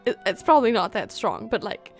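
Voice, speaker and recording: A woman game streamer giving casual live reactions, thinking aloud in an expressive, halting way. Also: mid-range voice, soft background music.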